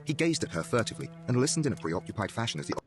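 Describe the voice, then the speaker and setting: A man's voice: mid-range voice, big pitch swings, very fast, faint music behind. A man narrating a horror story in a dramatic, scripted audiobook style.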